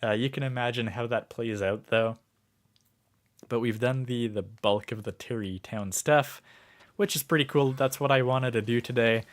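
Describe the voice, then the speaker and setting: A man's voice: medium pitch, normal speed. A man streaming a video game, musing aloud with lots of fillers while sick and losing his voice. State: sick, starting to lose his voice. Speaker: gaming livestreamer. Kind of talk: casual gameplay commentary.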